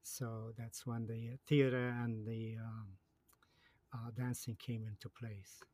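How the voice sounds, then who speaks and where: Halting Polish-accented man in his fifties. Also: medium pitch, little pitch movement, a little mellow. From Poland. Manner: halting, many fillers and restarts. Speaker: guest. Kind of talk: casual interview.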